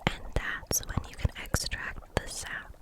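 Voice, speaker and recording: Woman, breathy whisper, slow pace, gentle witch character, soothing ASMR roleplay. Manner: soothing, reassuring, unhurried. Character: gentle, kindly female kitchen witch making potions.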